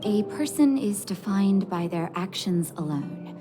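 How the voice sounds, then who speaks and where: An actress voicing a calm, caring leader of an underground resistance in a video game, measured and gracious. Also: female voice, mid-range voice, natural voice. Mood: grateful, composed. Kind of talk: video game cutscene dialogue. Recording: music playing behind the voice.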